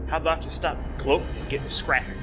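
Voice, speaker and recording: A man's voice: on the high side, fairly even intonation, fast talker, dull audio, music in the background, pitched above the performer's own voice, natural voice. A man voicing a brave, protective young blacksmith in a fantasy audio drama, sounding strained and wounded. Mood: defiant taunting.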